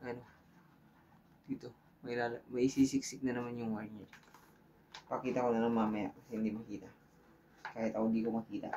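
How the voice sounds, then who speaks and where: A man's voice: medium pitch, flat delivery, a little fast, pauses often, warm tone, lo-fi sound, slight background noise. A man with a Filipino accent hosts a hands-on tutorial in an informal way, thinking aloud and checking in with viewers. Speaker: tutorial host. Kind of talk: casual hands-on tutorial talk.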